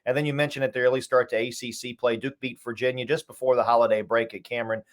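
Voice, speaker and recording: Fast-talking male sports broadcaster guest. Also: mid-range voice, little pitch movement. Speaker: radio play-by-play announcer, guest. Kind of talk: fluent sports analysis in interview.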